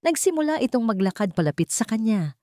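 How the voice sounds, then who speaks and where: A woman's voice: fairly high pitch, sing-song, fast talker, warm tone, no echo, clean studio sound. A woman reading scripted dramatic narration of a romance story as its storyteller.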